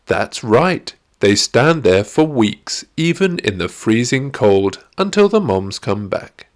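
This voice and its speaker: A man's voice: medium pitch, big pitch swings, slow pace. A man voicing a strong, kind male rhino zoo ranger in a children's storybook, friendly, proud and enthusiastic. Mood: agreeable.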